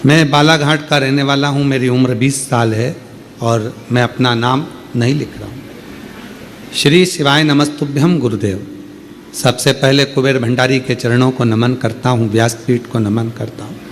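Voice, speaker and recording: A man's voice: mid-range voice, normal speed, slight background noise. Man preaching with rising emphasis. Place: open-air religious gathering.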